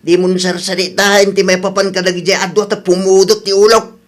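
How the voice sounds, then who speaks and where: A man's voice: high voice, little pitch movement, fairly quick, natural voice. A man playing a dismissive, grumbling older husband and father in an amateur radio drama, speaking curtly.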